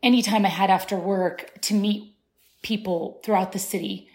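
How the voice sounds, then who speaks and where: A woman in her thirties, originally from Syria, tells her story as an interview guest. She speaks thoughtfully, pausing on fillers and restarting her sentences, at a middling pitch. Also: fairly even intonation, a little mellow. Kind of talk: conversational interview.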